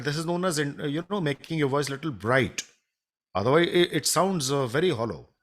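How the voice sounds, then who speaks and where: A man with a baritone voice from India, giving a halting live demonstration of audio mixing in his home studio, recorded on a condenser microphone from a distance. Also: a bit bright. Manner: hesitant, many fillers and restarts. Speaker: music studio instructor.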